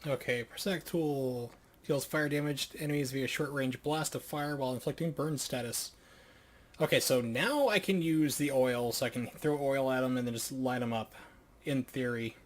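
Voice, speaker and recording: Man streaming, reading tutorials aloud haltingly. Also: medium pitch. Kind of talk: thinking aloud while reading game tutorials.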